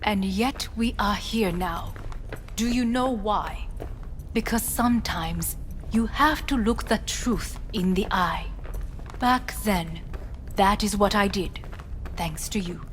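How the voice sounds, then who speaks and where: A woman playing a composed, imperious corporate heiress in a video game, speaking formally and with measured command. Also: mid-range voice, a little hiss or hum, natural voice. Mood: composed, deliberate. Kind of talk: dramatic video game voice acting.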